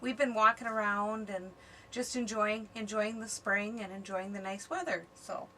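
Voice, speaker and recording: A woman's voice: mid-range voice, fairly even intonation, normal speed, pushed and bright, low-quality recording. A middle-aged woman, chatting casually and unscripted as she co-hosts a vlog. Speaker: camping and ham radio vlogger. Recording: faint background noise.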